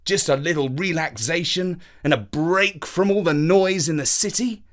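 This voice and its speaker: Man doing a loud, jolly storybook uncle. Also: fairly high pitch, normal speed, voice pushed up, close to an everyday voice. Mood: eager persuading.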